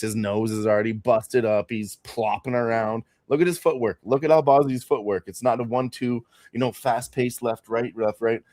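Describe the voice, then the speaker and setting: A young man hosting a live fight watch party, talking loudly and swearing freely as he comments on the action. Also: medium pitch, no echo, studio quality. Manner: loud, profane, excitable storyteller.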